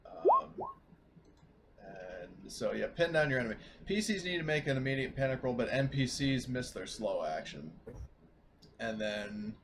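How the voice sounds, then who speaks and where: Man chatting over clear background noise. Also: medium pitch, very animated, moderate pace. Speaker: player in a tabletop roleplaying game. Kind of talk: casual game chat.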